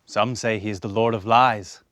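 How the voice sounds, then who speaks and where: An actor on a theater stage playing a stern, sardonic man who taunts a condemned killer in measured, pointed phrases. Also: on the low side, fairly even intonation, a bit bright, natural voice. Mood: cool, skeptical. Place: theater stage before an audience.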